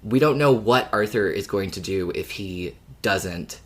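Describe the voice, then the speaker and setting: A man's voice: lowish voice, a little slow, a bit bright, breathy edge. Male co-host in casual podcast talk.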